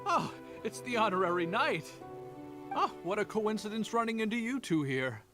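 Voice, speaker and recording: A man voicing a polite, modest young gentleman in an anime game, speaking articulately and genially. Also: high-pitched, sing-song, normal speed. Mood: friendly. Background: game music.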